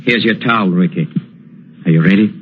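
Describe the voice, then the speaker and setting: An actor playing a fawning, obsessive older boxing trainer in a vintage radio drama, wheedling and stammering with a quiet menace. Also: male voice, low voice, fairly quick, breathy edge. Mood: soft, sinister. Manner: wheedling, hesitant, stammering, with nervous chuckling. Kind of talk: 1940s radio drama acting. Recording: dull, noisy old radio recording.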